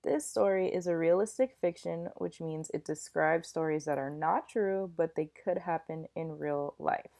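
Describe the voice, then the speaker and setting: A woman's voice: low voice, normal speed, vocal fry, hardly any pauses, warm tone. A woman speaking as a teacher to children, introducing a reading lesson and explaining things simply with examples.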